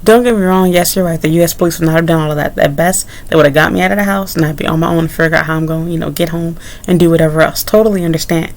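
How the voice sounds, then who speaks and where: A young woman recounts a personal ordeal straight to camera, loose and candid, drifting into side remarks before picking the thread back up. Her voice sits fairly low with a noticeable gravelly fry running through it. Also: fairly even intonation, rapid-fire, few pauses. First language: English. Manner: candid, rambling with frequent asides. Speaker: vlogger. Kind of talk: casual story-time monologue.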